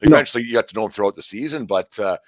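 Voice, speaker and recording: A man's voice: on the low side, animated, rapid-fire, no room sound. A middle-aged man hosting a casual sports talk, rambling with lots of fillers and restarts. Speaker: podcast host.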